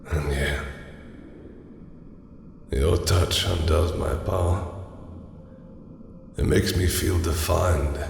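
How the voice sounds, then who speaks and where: A man's voice: extremely low pitch, vocal fry, exaggerated voice. A man playing an immortal god of death in a fantasy audio roleplay, brooding, imperious and yearning, speaking in a solemn, grandiose way. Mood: solemn, longing. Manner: grandiose, solemn, slow deliberate speech. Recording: cavernous echo, long reverb, faint noise.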